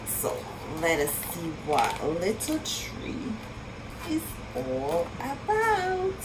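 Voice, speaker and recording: A woman with a fairly high voice reads a picture book to preschoolers over clear background noise. Her pitch swoops widely in a sing-song delivery, and she stops to put questions to the children. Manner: expressive, sing-song, pausing to ask young listeners questions. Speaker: children's storytime reader and teacher. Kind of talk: read-aloud story for preschool children.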